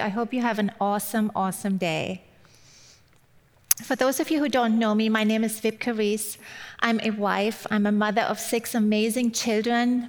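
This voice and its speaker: A woman with a German accent delivers a warm, chatty Mother's Day sermon to a congregation. Her voice sits a little high for a woman. It carries a long reverb from the hall around her. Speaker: guest speaker.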